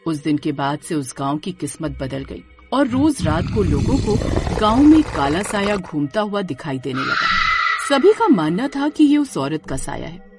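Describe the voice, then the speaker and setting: A woman narrating a scripted horror story as a storyteller. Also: fairly low pitch.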